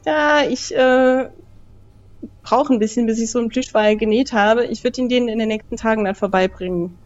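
A woman's voice: on the high side, moderate pace, soft background music. A woman role-playing casually as a player, polite and conversational, on a slightly dull microphone. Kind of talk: casual improvised in-character dialogue.

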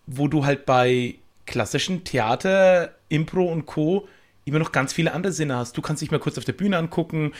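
A man chatting casually on a panel, explaining things colloquially and often repeating words as he goes. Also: fairly high pitch. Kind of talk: casual chat.

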